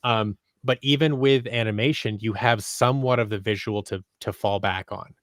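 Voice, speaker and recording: A man in his thirties giving conversational interview answers as a podcast guest, talking in a halting, rambling way that often interrupts itself. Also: fairly low pitch, fairly even intonation, dry recording, studio quality. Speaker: podcast guest, audio drama creator. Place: small home office, indoors. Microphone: close boom-arm microphone on a video call.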